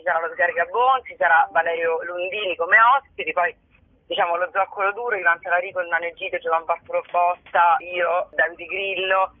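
A woman's voice: fairly low pitch, dry recording. A woman chatting as a guest in a casual interview, often hesitating and searching for words. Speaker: interview guest, performer and writer. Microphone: phone call. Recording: thin, band-limited telephone line.

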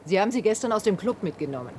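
A woman's voice: medium pitch, lively intonation, rapid-fire, a little mellow, a little hiss or hum, close to an everyday voice. An actress playing an inquisitive policewoman in a German radio drama, questioning in a clipped, official way. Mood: probing, insistent.

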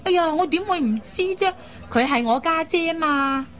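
A high voiced young gal, radio drama, headstrong lil sister type, chatty and coaxing. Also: female voice, moderate pace, faint music behind. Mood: eager, coaxing. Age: young adult. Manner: coaxing, chatty, expressive with sighs and particles. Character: lively, headstrong young woman matchmaking for her elder sister. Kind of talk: Cantonese radio drama acting.

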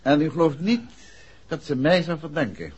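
An actor playing a composed, courteous gentleman detective with a husky voice in a 1960s radio play. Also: fairly high pitch, sing-song, normal speed, full character voice. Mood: courteous, probing. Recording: dull old radio recording.

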